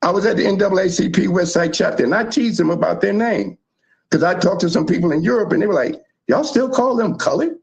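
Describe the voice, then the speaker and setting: A man from the South Bronx, telling history in animated, emphatic casual chat as a show host. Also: sits high, quick pace, gravelly. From South Bronx, New York.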